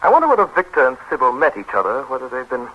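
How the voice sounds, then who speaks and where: Well-bred, sardonic man in love, 1930s radio comedy. Brisk, crisp-edged theatrical irony, faintly noisy vintage recording. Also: mid-range voice, huge pitch swings, dull audio. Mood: dry, amused. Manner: dry, ironic, theatrical. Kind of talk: 1930s radio drama acting, drawing-room comedy.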